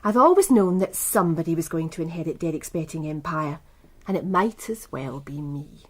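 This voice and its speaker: A proud, worldly English businesswoman for radio drama. She speaks in a lowish voice with composed, articulate phrasing. Her manner is coolly self-assured. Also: lively intonation, normal speed. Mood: cool, knowing. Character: poised, proud, worldly businesswoman running a bookmaking empire. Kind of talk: British radio crime drama acting.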